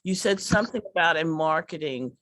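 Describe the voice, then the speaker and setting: A woman's voice: low voice, expressive, a little fast, a bit bright, a little hiss or hum. A woman performer and workshop artist talking earnestly and informally, often repeating her first words as she starts. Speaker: workshop artist and character-based comedy performer.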